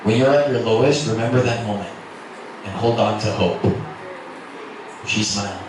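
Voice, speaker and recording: A man's voice: lowish voice, moderate pace. A man giving a dramatic, scripted narration of a romance novel as a reader at a literary event. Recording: echoey, noisy room from far off.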